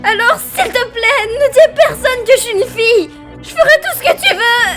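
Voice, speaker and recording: A woman playing an expressive, excitable young girl who poses as a male student, in an anime fan dub. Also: very high voice, normal speed, faint music behind. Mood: panicked, pleading. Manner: expressive, gushing and exclaiming.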